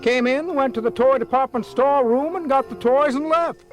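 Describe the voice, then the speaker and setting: A man's voice: very high-pitched, moderate pace. A fussy night watchman speaks in folksy, clipped sentences. He is a character in a children's cartoon audio drama, with faint music underneath. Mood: matter-of-fact.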